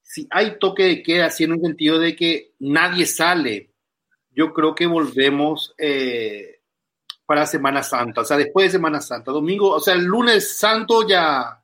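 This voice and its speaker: A man with a noticeably high, forceful voice. He talks casually in a group discussion, circling back over his words as he works out a thought. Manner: halting, repeats words as he thinks.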